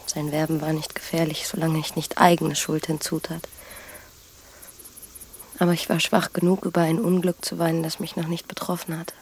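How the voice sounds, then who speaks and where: A woman's voice: low voice, level tone, moderate pace, husky. An actress playing a sad, regretful woman in a radio drama, speaking in a subdued, solemn and poetic way. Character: sad, regretful woman, once courted and deceived. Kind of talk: German literary radio drama acting.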